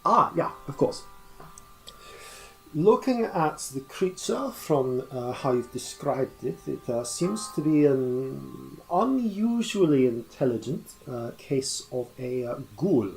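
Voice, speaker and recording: A learned male cleric speaks in formal, measured sentences, as a game master puts him on. He lectures slowly with many thoughtful pauses. Soft music plays faintly underneath. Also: mid-range voice, very animated, vocal fry, full character voice, poor audio. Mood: explaining.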